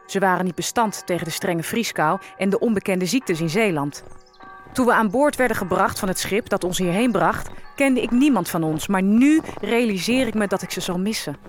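Audio drama role, played by a woman: sorrowful enslaved African woman, reflective and solemn, medium pitch, music underneath. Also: normal speed, natural voice. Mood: solemn grief.

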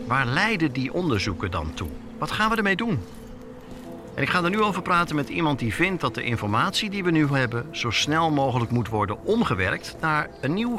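A man with a Netherlands Dutch accent narrating a scripted podcast as its host, telling it personally and posing rhetorical questions. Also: mid-range voice.

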